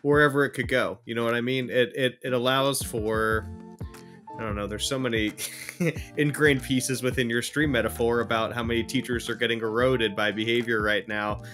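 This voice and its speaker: A man's voice: mid-range voice, fairly even intonation, normal speed, a bit bright, faint music behind. A man co-hosting a podcast, talking casually and feeling out his thoughts in a reflective way. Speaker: podcast co-host. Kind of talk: casual conversational discussion.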